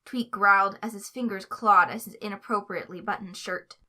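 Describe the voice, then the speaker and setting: A woman narrating a story aloud in an expressive, scripted reading style. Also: medium pitch, fairly quick. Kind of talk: expressive fan fiction reading.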